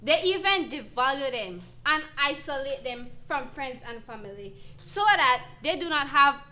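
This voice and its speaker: A woman playing a firm, supportive counsellor, speaking deliberately and emphatically in an educational dramatization. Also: high voice, lively intonation, bright, forceful tone. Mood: instructive. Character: female counsellor, firm, supportive, explaining abuse to victim. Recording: clear background noise.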